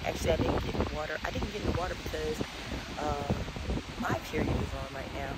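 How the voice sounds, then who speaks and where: A woman's voice: low voice, fast talker. A woman, chatting casually and rambling straight to her viewers as she walks along a beach. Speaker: vlogger. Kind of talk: casual walking vlog. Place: outdoors on a windy beach. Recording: loud wind and wave noise. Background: wind and surf.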